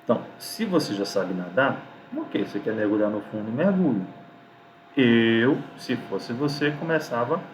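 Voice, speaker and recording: A man's voice: medium pitch, animated, a little slow, a little hiss or hum. A man giving an informal video lesson, talkative and didactic, asking himself rhetorical questions. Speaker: lecturer giving academic writing advice.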